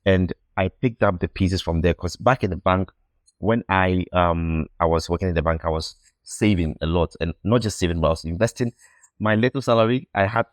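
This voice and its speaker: A young man from Ghana with a low, deep voice tells his story as a podcast guest. He speaks earnestly and reflectively, often repeating a word while he searches for the next one. The sound is dry and close. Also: fairly even intonation, fairly quick, no room sound. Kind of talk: casual interview storytelling.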